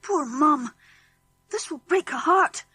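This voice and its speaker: A woman's voice: sits high, expressive, takes it slowly, pushed and bright, full character voice. A woman voicing an earnest, miserable young farm boy in a children's audiobook. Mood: downcast, earnest. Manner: earnest, downcast.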